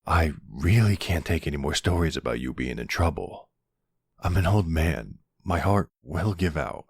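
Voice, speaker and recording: A man playing a charming, weary older mobster boyfriend in an ASMR audio roleplay, halting and tender in his delivery. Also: expressive, darkish tone, dry recording, exaggerated voice. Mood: wry. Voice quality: very deep, gravelly.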